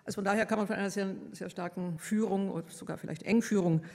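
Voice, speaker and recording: Woman giving a slow thoughtful lecture, lots of ums, echoey hall sound. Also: mid-range voice, steady tone, creaky, warm tone. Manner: measured, thoughtful, with frequent hesitations. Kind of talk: academic lecture.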